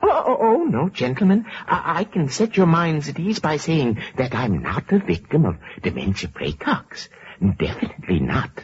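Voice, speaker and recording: Meek, over-polite little professor; high, wildly swooping voice, stammering formality, vintage radio style. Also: male voice, sing-song, normal speed, breathy edge, heavily acted. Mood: earnest, flustered. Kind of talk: 1940s radio acting. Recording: muffled old radio recording.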